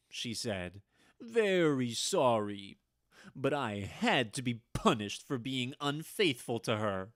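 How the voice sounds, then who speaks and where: Guy doing a nervous old henpecked man in a radio play, stammers, slow, voice jumps up and down. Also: medium pitch, sharp tone, no echo, studio quality. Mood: bitter, indignant. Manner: stammering, hesitant, flustered. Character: nervous, henpecked old man recalling his witch wife. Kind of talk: old-time radio drama storytelling.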